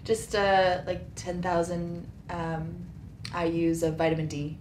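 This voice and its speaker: A woman's voice: lowish voice, little pitch movement, unhurried. A woman in her thirties speaking as a patient, giving a casual, detailed account. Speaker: patient, yoga teacher. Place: small carpeted treatment room. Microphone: boom microphone on a stand. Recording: roomy sound with background hiss.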